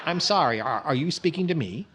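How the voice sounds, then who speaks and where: A man's voice: on the high side, lively intonation, heavy background noise, close to an everyday voice. A man playing a vain, thrifty, flustered middle-aged comedian who stammers indignantly in old-time radio comedy style. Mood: puzzled. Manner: stammering, indignant, drawn-out delivery.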